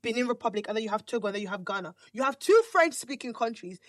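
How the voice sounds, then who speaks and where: Woman from Nigeria, podcast co-host, casual chat, higher voice, dry close sound. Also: racing, dry recording. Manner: animated, repeats words, trails off mid-thought.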